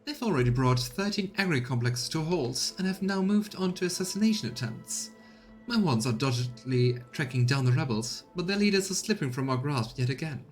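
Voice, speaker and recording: Game governor: highborn woman, played by a man, raised pitch, anxious, obsequious, snobbish, formal and theatrical; music underneath. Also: expressive, a little fast, close to an everyday voice. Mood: formal, defensive. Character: anxious, fawning, haughty noblewoman planetary governor.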